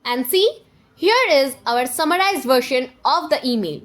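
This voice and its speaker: A woman presenting a scripted software tutorial, giving step-by-step instructions straight to the viewer. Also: high-pitched, expressive, moderate pace.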